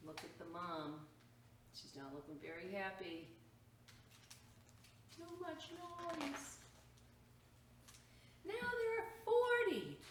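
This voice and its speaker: A woman reading a children's picture book aloud as a storytime reader, expressive and exclaiming, pausing to point out the pictures to children. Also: on the low side. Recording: roomy echo, distant mic, faint noise.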